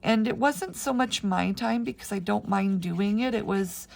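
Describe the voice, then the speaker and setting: Raspy woman with flu, chatty crafter. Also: mid-range voice, normal speed. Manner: chatty, rambling with frequent ums. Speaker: paper piecing crafter teaching a tutorial. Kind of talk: casual step-by-step craft tutorial.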